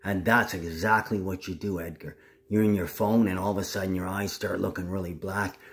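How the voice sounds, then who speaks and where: A man's voice: low voice, level tone, a little fast. A man talking casually to the camera in a rambling, agitated monologue full of swearing.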